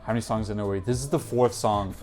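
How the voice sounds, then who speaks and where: A man's voice: fairly low pitch, steady tone, fast talker, darkish tone. A man hosting a Eurovision reaction video, giving chatty, opinionated commentary and correcting himself as he goes. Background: faint music from the songs being reacted to.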